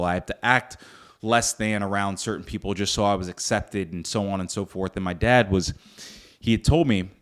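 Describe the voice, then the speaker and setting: A young man from Northern Virginia hosting a solo podcast, earnest and reflective, with frequent fillers and restarts. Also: deep voice. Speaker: podcast host. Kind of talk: casual solo podcast talk.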